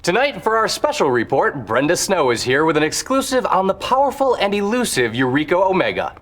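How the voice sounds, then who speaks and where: A slick game-cutscene news anchorman. His high voice swings dramatically in punchy announcer style, with music playing underneath. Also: sing-song, a little fast, fairly bright tone. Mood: brisk, showy. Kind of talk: scripted news anchor introduction.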